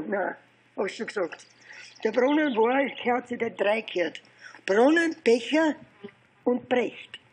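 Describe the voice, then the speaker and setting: An elderly Austrian man recounts his past as if for an oral history interview. His voice is husky and worn rather than clear. He speaks haltingly, circling back and repeating phrases as he goes. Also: very high-pitched. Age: 70s or older. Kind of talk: informal oral history storytelling.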